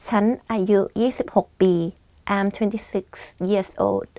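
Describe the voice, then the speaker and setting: A woman's voice: medium pitch, big pitch swings, rapid-fire, dark tone, no echo. Chatty Thai woman teaching vocabulary. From Thailand. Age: 20s. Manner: chatty, many "like" and "I mean" fillers. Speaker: language teacher. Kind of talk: casual vocabulary lesson.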